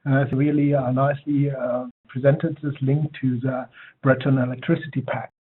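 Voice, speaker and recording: Male webinar moderator, mid pitch, easy fluent delivery, phone-quality audio. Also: flat delivery, moderate pace, slightly rough. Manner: fluent but loose, occasional fillers. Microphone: web conference audio. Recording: tinny phone-like web call audio with clicks.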